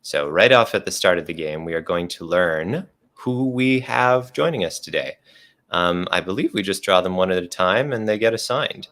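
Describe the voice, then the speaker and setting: Deep-voiced man explaining a tarot game. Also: lively intonation, normal speed, fairly bright tone. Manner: self-deprecating, laughs at himself. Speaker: guest game runner.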